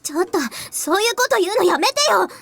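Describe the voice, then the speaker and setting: An anxious, guilt-ridden schoolgirl from a visual novel. Her voice is extremely high and she talks quickly, in an earnest, hesitant way. Faint music plays behind her. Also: animated, gravelly, fairly bright tone, exaggerated voice. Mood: distressed, fearful. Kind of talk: Japanese visual novel voice acting.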